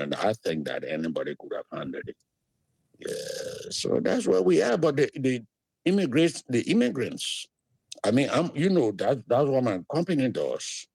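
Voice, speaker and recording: A man from Liberia, an animated storyteller who laughs easily, speaking as an interview guest. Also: vocal fry, slightly rough, no room sound, rough recording. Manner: animated storyteller with big swings in pitch, quick to laugh. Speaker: guest, immigrant services business owner. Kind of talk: conversational interview.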